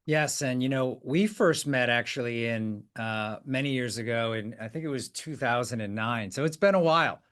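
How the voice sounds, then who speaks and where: A man's voice: mid-range voice, normal speed, hardly any pauses, a bit bright. A man hosting an interview, chatting in an affirming way with frequent "hmm"s and "wow"s. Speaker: podcast host, company CEO. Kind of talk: conversational interview.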